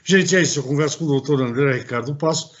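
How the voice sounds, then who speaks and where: A man's voice: a little high, fast, highs cut off. A man hosting an interview show, chatting in a rambling way with many restarts. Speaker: host. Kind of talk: casual interview talk.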